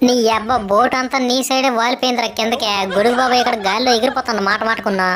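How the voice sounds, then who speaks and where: A woman voicing a cheeky, grumpy male monkey in an animated comedy, scolding and sarcastic. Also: on the high side, racing, lightly acted. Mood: scolding, mocking. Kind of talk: animated comedy cartoon dialogue. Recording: loud music under the voice.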